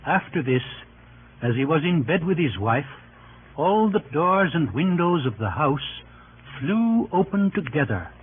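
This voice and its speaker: A man narrating Roman history for an old radio drama in a formal, deliberate manner with clipped phrases. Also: a little high, big pitch swings. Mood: grave, foreboding. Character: sober male chronicler narrating Roman history. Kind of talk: scripted narration for 1930s-40s radio drama. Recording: noisy, narrow-band old radio recording.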